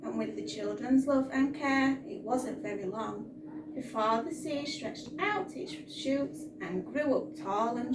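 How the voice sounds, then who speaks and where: A woman reading a story aloud to young children in an animated, expressive storytime style. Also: sits high. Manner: animated, addressing young listeners. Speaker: children's storytime reader, teacher. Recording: roomy, distant mic, noisy background.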